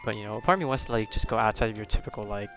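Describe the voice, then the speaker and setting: A man streams a video game with casual commentary. His voice is fairly low and he rambles in a laid-back way, with soft music behind him. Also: rapid-fire, muffled recording. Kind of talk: casual live gameplay commentary.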